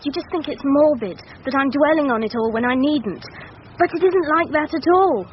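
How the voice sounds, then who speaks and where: An actress playing a brooding, troubled teenage daughter in a radio drama. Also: female voice, high-pitched, animated, moderate pace. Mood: brooding, defiant. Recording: dull radio recording, faint noise.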